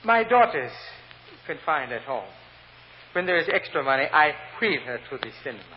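A man playing a humble, earnest immigrant grocery clerk with a Spanish accent, testifying in halting, deferential broken English in a vintage radio drama. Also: on the high side, very animated. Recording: muffled old radio recording, hiss, long reverb.

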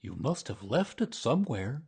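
A man's voice: medium pitch, sing-song, moderate pace, gravelly, a little mellow, husky, exaggerated voice. A man voicing an earnest, kindly, simple male bear in a children's storybook, speaking in an emphatic, plain way. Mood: surprised, insistent. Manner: emphatic, solemn, plain-spoken. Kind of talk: children's storybook character reading.

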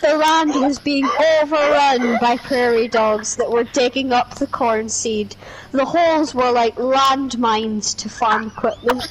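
A woman with a high voice reads aloud in a slow, drawn-out, theatrical way. She plays it up as a mock-dramatic reading and keeps slipping in asides. A faint hiss sits under her voice. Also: fairly even intonation, low-quality recording. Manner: slow, theatrical reading broken by giggles and swearing. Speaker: streamer reading an article aloud.

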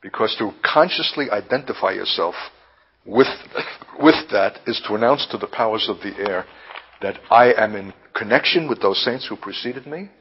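A man preaching and teaching in a deliberate, emphatic manner with lofty wording, halting now and then to repeat himself. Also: lowish voice, slightly rough. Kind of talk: impassioned prophetic sermon, teaching. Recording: dull, lo-fi sermon recording.

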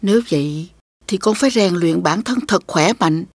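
A woman voicing a patient, encouraging village mother, gentle and instructive, in an animated fairy tale. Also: on the low side, normal speed, no room sound, studio quality.

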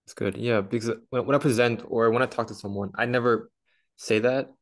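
A young man hosting a casual interview over a video call from his living room, asking relaxed, friendly questions. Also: medium pitch, a little fast. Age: young adult. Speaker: host. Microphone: laptop webcam on a video call.

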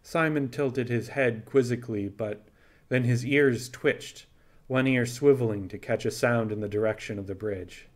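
A man narrates fiction in audiobook style. His pitch is average for a man. He reads scripted prose as a storyteller. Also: normal speed.

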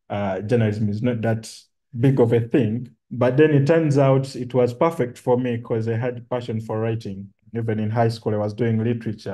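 A man's voice: fairly low pitch, dark tone. A young man with a Ugandan accent being interviewed on a video call. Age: young adult. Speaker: journalist interviewee. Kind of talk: interview talk about career. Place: room at home, video call.

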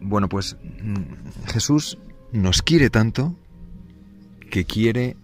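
A man, a priest, giving an unscripted catechesis in a gentle, halting way, with many fillers and restarts. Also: on the low side, lively intonation, soft background music.

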